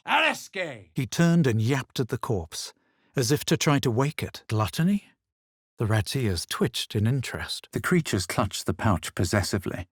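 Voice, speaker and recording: An actor playing a haughty, coolly superior fantasy adventurer in a video game, with a husky voice and deliberate delivery. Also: male voice, on the low side, dry recording, clean studio sound, heavily acted. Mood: forceful spell casting. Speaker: video game player character. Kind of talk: scripted game voice lines, narration and remarks.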